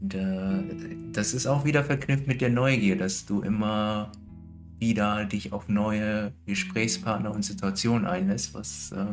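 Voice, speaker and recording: Low-voiced, reflective man. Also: steady tone, a little slow, over music. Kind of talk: casual conversation.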